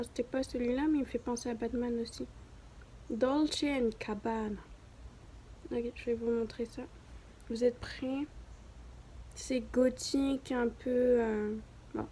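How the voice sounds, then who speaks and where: Young woman with a southern French accent for a casual home vlog, light and higher voice, rambling and self-mocking. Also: little pitch movement, a little slow, pauses often, warm tone, a little hiss or hum. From southern France. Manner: rambling, self-mocking, chatty with many fillers. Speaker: beginner vlogger. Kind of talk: casual chat to camera.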